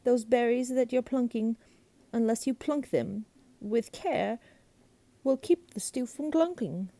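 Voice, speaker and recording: Lady doing a pushy green storybook creature guy, squeaky high voice, yaps on and on, bossy. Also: expressive, a little slow, dark tone. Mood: jabbering. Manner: jabbering, singsong, bossy. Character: chattering greenish male creature, pushy and rude.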